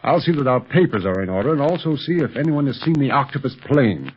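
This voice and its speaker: A man playing a confident, steady secret agent and leader, brisk and authoritative, in a 1930s radio adventure serial. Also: medium pitch, lightly acted. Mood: explaining. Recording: dull 1930s radio recording with faint noise.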